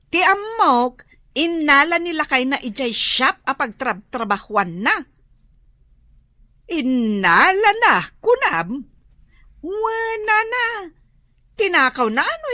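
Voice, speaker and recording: A woman's voice: high-pitched, bright, forceful tone, no echo, clean studio sound, heavily acted. A woman acting an aggrieved, gossipy village daughter in an Ilocano radio comedy drama, with an Ilocano accent and exclamatory delivery.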